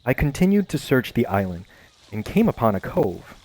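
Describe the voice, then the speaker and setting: A man narrating a first-person adventure story in a measured, calm storytelling manner. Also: medium pitch, low-quality recording. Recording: faint music behind voice.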